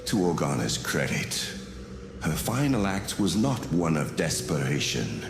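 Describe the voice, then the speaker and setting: Menacing ancient dark overlord, cunning, gravelly, swooping intonation, echoing, music behind, audio drama. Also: male voice, very deep voice, big pitch swings, exaggerated voice. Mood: scornful, calculating. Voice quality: gravelly, creaky. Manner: slow, deliberate, ominous, imperious. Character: menacing ancient reptilian dark-side master, cunning and contemptuous. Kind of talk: audio drama villain acting.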